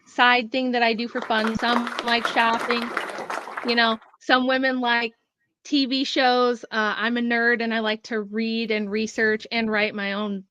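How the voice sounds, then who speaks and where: A woman in her forties, a guest writer and researcher, talking in a friendly, quick way with frequent laughs. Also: on the high side, flat delivery, bright, forceful tone, no room sound. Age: 40s. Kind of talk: casual interview.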